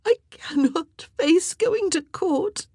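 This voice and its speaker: A distraught young maid of honor speaks in a high, tearful voice for an audiobook. She gasps and falters through her sobs, full of shame. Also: lively intonation, mellow, no echo, clean studio sound, full character voice. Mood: despairing. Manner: sobbing, gasping, halting. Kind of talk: audiobook character acting.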